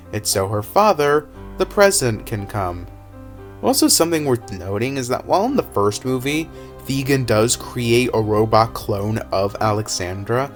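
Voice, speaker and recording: A man breaks down a movie in a casual, rambling way. His pitch swings widely as he gets worked up, he stumbles and corrects himself, and his voice is still recovering. Faint music plays underneath. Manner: animated, exasperated, rambling with self-corrections. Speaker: video essayist.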